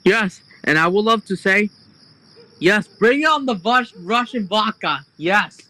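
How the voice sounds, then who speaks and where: A man on a group voice call, excitably chiming in and agreeing with the host. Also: high voice, measured pace, no echo, poor audio. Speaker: call guest. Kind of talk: casual group voice chat.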